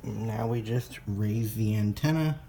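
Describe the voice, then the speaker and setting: A man presenting a casual step-by-step product demonstration in a halting, plain-spoken way. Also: lowish voice. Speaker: presenter of a how-to video. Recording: clear background noise with clicks.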